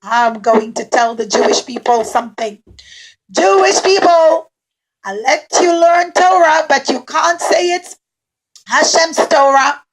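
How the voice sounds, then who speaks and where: A woman voicing an imperious, jealous male king in a children's puppet show, declaiming loudly and slowly. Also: high voice, rough recording. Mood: commanding. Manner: loud, slow, declaiming.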